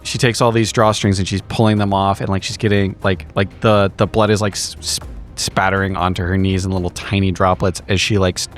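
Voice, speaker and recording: A man playing a tabletop game, describing action in a casual, halting, improvised way with many fillers. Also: low voice, flat delivery, soft background music. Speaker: tabletop roleplaying player.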